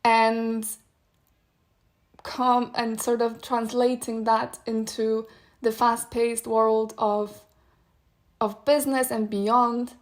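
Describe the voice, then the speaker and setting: A young woman whose native language is Polish talks through her thoughts on a solo podcast. Her voice sits a little high but stays fairly level in tone, and she muses in a meandering way, with soft laughs between ideas. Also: flat delivery, slow pace, long pauses. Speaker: podcast host. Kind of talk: solo reflective podcast monologue.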